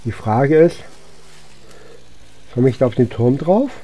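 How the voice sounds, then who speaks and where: A man's voice: mid-range voice, lively intonation, takes it slowly, warm tone. A man giving casual let's-play commentary as a gaming host, unhurriedly thinking aloud to himself.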